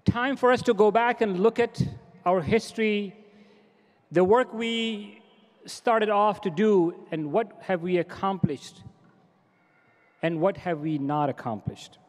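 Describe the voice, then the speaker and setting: A man's voice: high-pitched, takes it slowly. A middle-aged man giving a formal welcome speech at a convention podium, earnest and exhorting, often repeating his phrases for emphasis. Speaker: organization president giving a welcome address. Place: large convention hall stage. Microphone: podium microphones. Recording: long reverb of a large hall.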